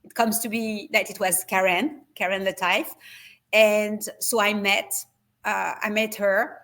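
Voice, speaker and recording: A woman's voice: mid-range voice, little pitch movement, a little slow, a bit bright. A woman with a French accent, a guest talking in a casual interview over a video call, hesitant with many fillers and restarts. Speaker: guest, wellness retreat founder.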